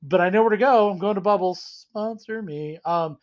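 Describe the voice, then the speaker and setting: Hoarse man with a cold reviewing whiskey. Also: sits high, fairly quick, dull audio. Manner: rambling, self-deprecating, enthusiastic. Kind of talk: casual tasting vlog. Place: small room indoors at home.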